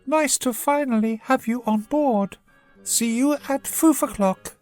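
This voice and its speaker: A woman's voice: fairly high pitch, a little slow, darkish tone, faint music behind, voice pushed up, natural voice. A woman voicing a kindly, gracious silver-haired deer creature in a children's storybook, polite and welcoming. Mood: cheery instructing.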